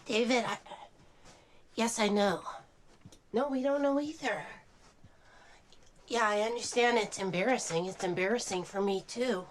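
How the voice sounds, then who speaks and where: Actress as stressed out emotional movie star woman, medium pitch, naturalistic film drama, bit of noise. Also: unhurried, long pauses, close to an everyday voice. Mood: apologetic, flustered. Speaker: lead character and narrator. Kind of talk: naturalistic screen drama acting.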